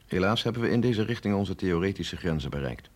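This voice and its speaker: Radio play scientist, middle-aged sceptic with a husky voice, lively pitch swings, measured and insistent. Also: male voice. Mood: grave, explaining. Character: sceptical, authoritative male scientist briefing an agent, no longer young. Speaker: scientist doctor. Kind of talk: radio drama acting.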